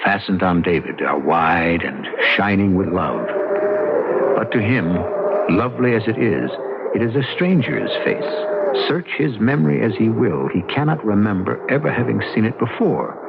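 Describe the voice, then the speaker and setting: A man hosting and narrating a radio mystery in a theatrical, portentous, deliberate style. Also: fairly low pitch, big pitch swings, creaky, very noisy. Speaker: host and narrator. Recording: dull old radio recording, loud music.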